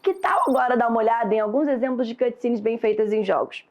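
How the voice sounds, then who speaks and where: A woman with a Brazilian Portuguese accent reading a scripted educational lesson in a clear, measured, didactic manner. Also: a little high, a little mellow. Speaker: instructor, lesson narrator.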